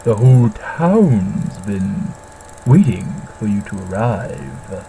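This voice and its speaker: A performer voicing a polite, smugly charming vampire teenage boy with slow, drawn-out delivery in a mock dramatic novel reading. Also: sits low, sing-song, creaky, dark tone, slight background noise, full character voice, poor audio. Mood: smooth, teasing.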